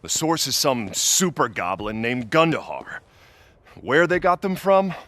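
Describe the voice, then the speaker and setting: An actor playing a self-assured human adventurer in a video game, casual and wry. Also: male voice, medium pitch, very animated, a little slow, a bit bright. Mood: explaining. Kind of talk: video game cutscene acting. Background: faint music.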